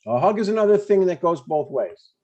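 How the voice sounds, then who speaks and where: A man's voice: sits high, very animated, fairly quick, mellow. An older man playing a blunt, stubborn but affectionate father in a comedy table read, over dull stream audio. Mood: blunt, grumbling. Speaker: amateur actor.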